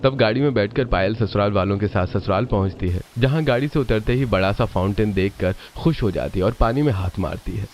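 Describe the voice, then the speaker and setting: A man's voice: fairly low pitch, a little fast, few pauses, darkish tone. A man narrating a scripted cartoon family story.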